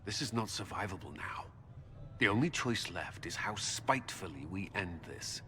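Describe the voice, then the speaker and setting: Grim army commander, mid voice that swings a lot, clipped n forceful, big echoey hall. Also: male voice, sits low, animated, moderate pace. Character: grim, resolute military commander. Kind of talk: dramatic video game acting. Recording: long reverberant hall, faint background noise.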